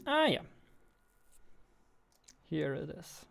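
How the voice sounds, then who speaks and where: A man's voice: a little high, very animated, very slow, vocal fry, fairly bright tone. A man in his twenties, a game developer, muttering to himself in short fragments as he casually works through code. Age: 20s. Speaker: indie game developer, host. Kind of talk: casual thinking-aloud coding devlog. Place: indoors at a computer desk. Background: faint music, keyboard typing and clicking.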